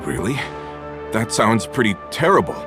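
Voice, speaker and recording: A man's voice: on the low side, big pitch swings, moderate pace, over music. An actor playing a middle-aged, plain-spoken working father in a video game, sincere and a little world-weary. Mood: resigned, troubled.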